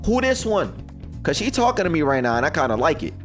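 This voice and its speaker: A young man hosting a reaction video in casual, slangy chat, animated and repeating himself when excited. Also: fairly high pitch, quick pace, soft background music.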